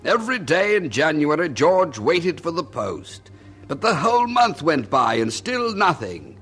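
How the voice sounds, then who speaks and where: A husky, confiding friend tells the tale with admiration. The voice is fairly high and very animated, giving dramatic old radio drama narration. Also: male voice, a little slow, soft background music, exaggerated voice. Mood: suspenseful.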